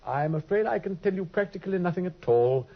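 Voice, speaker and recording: An actor playing a brilliant, dryly teasing detective in a vintage radio drama, speaking with crisp, precise wit. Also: male voice, high-pitched, expressive, a little fast, full character voice. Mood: wry. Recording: dull old radio recording.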